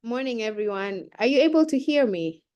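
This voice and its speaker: A woman's voice: fairly high pitch, lively intonation, a little fast, warm tone, clean tone, lo-fi sound. A young woman with a cold, giving a chatty, informal keynote talk over a video call, with many fillers and restarts. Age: young adult. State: has a cold. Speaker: keynote speaker. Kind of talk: casual speech on a video call.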